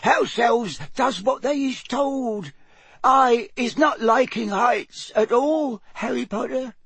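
Man putting on a very squeaky, quivering little female house elf. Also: vocal fry, a bit bright, husky, no room sound, full character voice. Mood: firm, frightened. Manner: subservient, broken grammar, drawn-out phrasing. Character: tiny timid female house elf servant, deferential, anxious.

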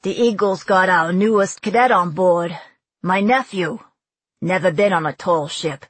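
Jovial Coast Guard guy from a storybook performed by a woman, Brooklyn accent, loud, hearty, informal, slightly husky. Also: on the low side, a little slow, no room sound. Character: jovial Brooklyn Coast Guard man, chatty old acquaintance.

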